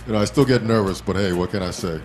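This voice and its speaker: A man's voice: lowish voice, fast talker, a little mellow, soft background music. A man hosting a casual, informal talk show interview in a self-deprecating way.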